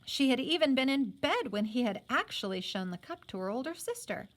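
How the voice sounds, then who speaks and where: A woman reading a novel aloud as a teacher would, in an expressive storytelling style. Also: sits high, fairly quick. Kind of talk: expressive story read-aloud with brief explanatory asides.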